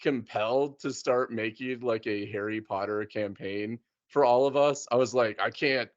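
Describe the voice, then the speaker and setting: A man in a casual, informal chat, thinking aloud in halting phrases. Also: mid-range voice, dry recording, highs cut off.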